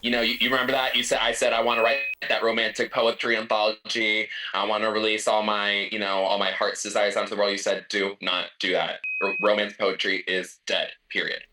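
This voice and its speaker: A man bantering as a comic podcast guest in a dramatic, halting, campy way, on a noisy remote mic. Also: on the low side, level tone, distant mic.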